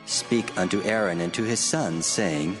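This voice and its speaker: God, deep and cavernously reverberant. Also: male voice. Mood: solemn command. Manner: solemn, measured, commanding. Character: God, solemn commanding divine male voice. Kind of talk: dramatized scripture reading. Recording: long cavernous reverb, faint music behind. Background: music.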